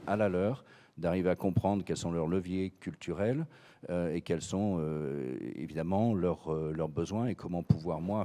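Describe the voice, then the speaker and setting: A man's voice: low voice, warm tone. A man from France, head of a cognac firm, speaking hesitantly on a conference panel with many fillers and repeated words. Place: conference hall.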